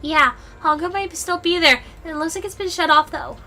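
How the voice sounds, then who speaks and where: A woman's voice: extremely high pitch, moderate pace, sharp tone, pitched above the performer's own voice, exaggerated voice. A woman voicing a worried young girl in a story, tentative and plain-spoken. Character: worried young girl with a red bow tie.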